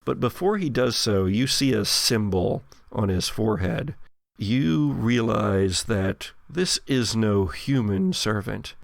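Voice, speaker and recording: A man working as game master, improvising descriptive horror narration for a tabletop role-playing game in a halting storyteller's way. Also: lowish voice, expressive, gravelly, no echo, clean studio sound. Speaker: game master (the Keeper) of an actual play podcast.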